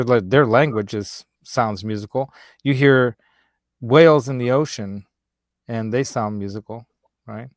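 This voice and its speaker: A man's voice: mid-range voice, dry recording, studio quality. A man with a slight Hungarian accent and Hungarian as his first language, hosting a casual chat show, rambling and laughing easily. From United States. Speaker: host.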